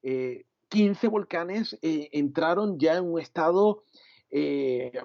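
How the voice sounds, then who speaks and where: A Venezuelan man preaching a sermon in a reflective way, often pausing with "eh" and adding "¿no?" at the end of his phrases. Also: fairly high pitch, lively intonation, creaky, darkish tone, dull audio. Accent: Venezuelan Spanish. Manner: reflective, frequent "eh" and "¿no?" tags. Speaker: pastor.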